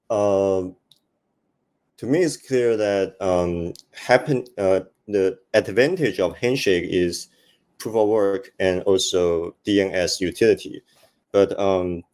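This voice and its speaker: A man with a Taiwanese accent speaking informally on a panel, halting and hesitant, often repeating words. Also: low voice, warm tone. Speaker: conference panelist, investor.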